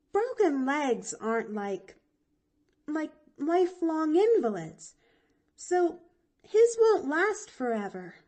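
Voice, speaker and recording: Woman reading as a chattering little girl, high and lively, slow and eager, folksy. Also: exaggerated voice. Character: cheerful talkative little girl. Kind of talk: audiobook reading.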